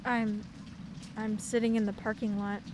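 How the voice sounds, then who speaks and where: An actress playing a young woman, shaken and near tears, who talks haltingly and repeats her words. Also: medium pitch, little pitch movement, lightly acted. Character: young woman June, upset daughter on phone. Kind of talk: radio play acting. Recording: heavy rain noise behind.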